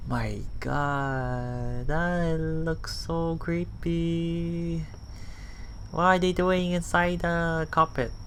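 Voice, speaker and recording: A man's voice: on the high side, steady tone, very slow, a bit bright, slight background noise. A man reading a visual novel aloud as a let's play host, stumbling and rereading lines as he goes. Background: faint music.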